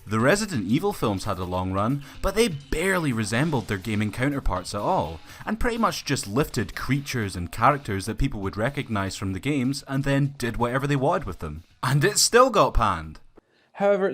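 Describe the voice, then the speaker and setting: Man narrating a film review, lively pitch. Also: normal speed. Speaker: video reviewer.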